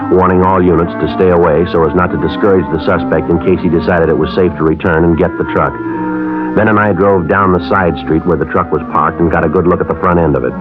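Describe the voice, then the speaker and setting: A man's voice: sits low, natural voice. An American man acting a stoic, terse police sergeant in a 1950s radio drama, clipped and matter-of-fact. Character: stoic male police sergeant detective, middle-aged, terse and businesslike. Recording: tinny old radio recording, music behind.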